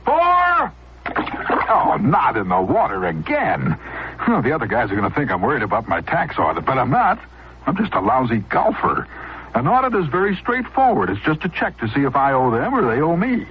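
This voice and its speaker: An actor playing a chatty, hapless amateur golfer, breezy and comic, in a radio public service spot. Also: male voice, medium pitch, wildly swooping pitch, moderate pace. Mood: exasperated, breezy. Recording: muffled radio, faint noise.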